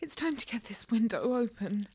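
A woman voicing a playful young catgirl who teases in breathy whispers, meows and purring growls. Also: on the high side, lively intonation, moderate pace, darkish tone. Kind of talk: solo audio roleplay.

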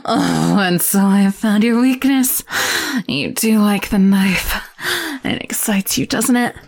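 A woman playing a cruel, seductive captor with a knife, talking in a slow, teasing, mocking way that often drops to a whisper. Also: mid-range voice, vocal fry, a little mellow. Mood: menacing. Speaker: audio roleplay performer. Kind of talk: intimate audio roleplay acting.

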